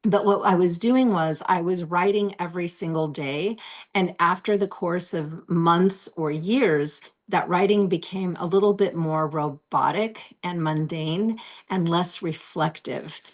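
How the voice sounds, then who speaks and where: A woman in her forties, slightly hoarse, giving an earnest, encouraging self-help talk to camera from a room at home, repeating her key phrases. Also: on the low side, measured pace. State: losing her voice. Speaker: host.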